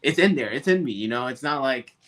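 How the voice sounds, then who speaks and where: A man from Puerto Rico, talking earnestly in a casual livestream chat, halting with fillers. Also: medium pitch, expressive, fairly bright tone. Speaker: comic co-creator.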